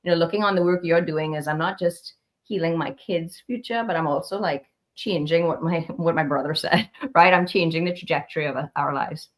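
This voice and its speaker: A woman with a soft Trinidadian accent, speaking in a somewhat low voice. She talks as a counseling guest in a relaxed video-call webinar, lively, laughing easily and restarting her sentences as she goes. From Trinidad and Tobago. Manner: animated, laughs often, quick restarts. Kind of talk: casual webinar conversation.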